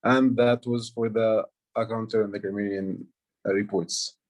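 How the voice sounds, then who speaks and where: A man's voice: fairly low pitch, level tone, moderate pace. A man from Egypt, not an English speaker, giving a meeting report on a video call with many fillers and restarts. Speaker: aha counter and grammarian. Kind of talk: online club meeting report.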